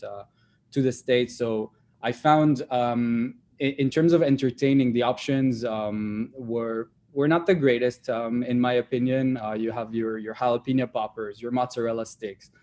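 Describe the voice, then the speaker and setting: A young man speaks at a middle pitch in an unhurried, halting way. He fills gaps with ums and restarts as he answers an interviewer. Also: a little mellow. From Belgium. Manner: hesitant, many fillers and restarts. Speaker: startup founder. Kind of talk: livestream interview.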